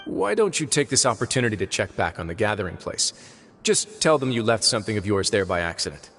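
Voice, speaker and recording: An actor playing a composed male official who lays out plans in a measured, formal way. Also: lowish voice, very animated, fairly bright tone, a little hiss or hum. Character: composed male official, calm and deliberate strategist.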